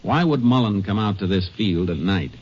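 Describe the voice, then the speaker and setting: Man as deep, laconic American lawman. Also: expressive, moderate pace, close to an everyday voice. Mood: calm, probing. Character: calm, probing, laconic Texas Ranger investigator. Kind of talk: old-time radio crime drama acting. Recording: muffled, noisy vintage radio recording.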